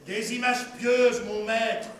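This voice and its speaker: A man acting a servant who tells his tale in a lively, chuckling way, heard from far off in an echoey hall. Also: very high-pitched, slow pace. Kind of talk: stage play acting.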